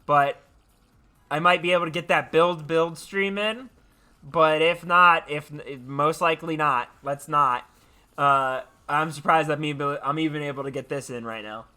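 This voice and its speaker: A man hosting a livestream, sounding tired yet animated, chatting casually and haltingly as he repeats his phrases. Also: a little high, clear, resonant tone. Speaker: livestream host. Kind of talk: casual rambling chat to viewers.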